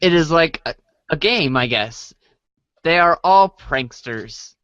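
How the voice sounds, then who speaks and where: Performer voicing mild young friend, hesitant, forgiving, slow pace, animated pitch, dry recording, amateur comic drama. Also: smooth, no room sound, muffled recording. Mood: gentle, amused. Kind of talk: amateur comedic readers' theater acting.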